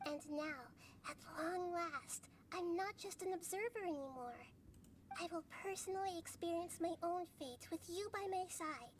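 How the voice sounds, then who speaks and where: Small high voiced game goddess, thoughtful n earnest, sounds like a wise kid, bit of background noise. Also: female voice, moderate pace. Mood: quietly eager. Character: gentle, wise, childlike young goddess, calm and curious. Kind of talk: scripted video game voice acting.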